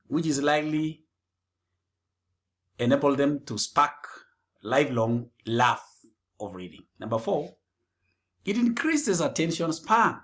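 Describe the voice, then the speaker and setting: A man giving a scripted educational presentation, speaking deliberately and phrase by phrase. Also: fairly high pitch.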